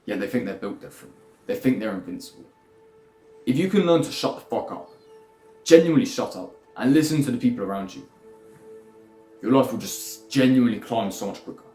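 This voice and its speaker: A man's voice: medium pitch, expressive, brisk, long pauses, dark tone. Blunt, swearing young man. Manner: rambling, swearing, lecturing bluntly. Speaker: self-improvement YouTuber. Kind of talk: blunt motivational monologue. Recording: echoey room with faint music.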